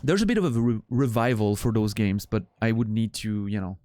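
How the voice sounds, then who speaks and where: A man's voice: fairly low pitch, a little fast, a little mellow, no room sound. Profane Swiss French man, rambling. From Switzerland. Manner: rambling and profane, with frequent restarts. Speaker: streamer. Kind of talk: casual chat with chat viewers.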